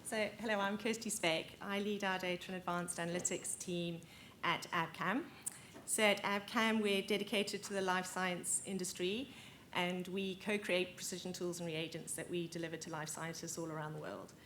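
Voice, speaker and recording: A woman with a South African accent introducing herself on a conference panel, friendly and quick to laugh. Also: on the low side, fairly even intonation, a bit bright, slight background noise. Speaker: panelist, analytics team lead.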